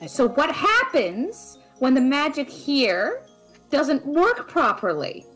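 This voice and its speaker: A woman's voice: high-pitched, huge pitch swings, takes it slowly, fairly bright tone, faint music behind, exaggerated voice. A woman playing a brainy, caring and exasperated female friend in a conversational audio drama, wry and fretful. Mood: patient, explaining.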